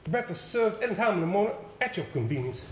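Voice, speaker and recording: Male actor as rural innkeeper, high voice, guarded, curt, stage play, echoey room. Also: sing-song, quick pace. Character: reticent rural innkeeper, curt and guarded about local secrets. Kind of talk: live stage play acting. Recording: echoey hall, stage play recording with hiss.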